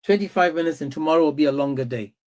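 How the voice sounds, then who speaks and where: A man hosting a live-stream debate, insistent and quick to interrupt. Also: on the high side, a little mellow. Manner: insistent, interrupting, repeats himself. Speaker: stream host.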